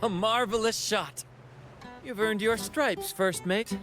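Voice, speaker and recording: An actor playing a suave, cocky swashbuckling captain in a fantasy video game, with a theatrical, smug delivery. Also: male voice, sits high, slow, a bit bright, faint music behind. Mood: smug, charming. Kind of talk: scripted video game voice acting.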